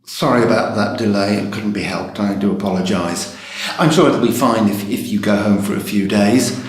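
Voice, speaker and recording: A man's voice: on the low side, normal speed, natural voice. A man playing a busy, apologetic hospital doctor in a training film, speaking politely and hesitantly. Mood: apologetic, flustered. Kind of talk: acted training film scene. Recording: echoey room, faint music.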